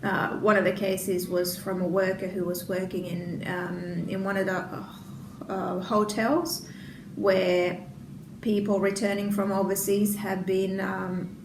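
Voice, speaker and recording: A woman's voice: mid-range voice, little pitch movement, takes it slowly, echoey room, distant mic, noisy background. A woman from Finland, a native Finnish speaker, hosting her podcast in casual rambling chat, full of ums and restarts. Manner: hesitant, many ums and restarts. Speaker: podcast host.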